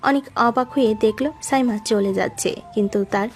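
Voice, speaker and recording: A woman's voice: on the high side, a little fast. A woman narrating a scripted romantic story in a dramatic storytelling style. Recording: music behind the voice.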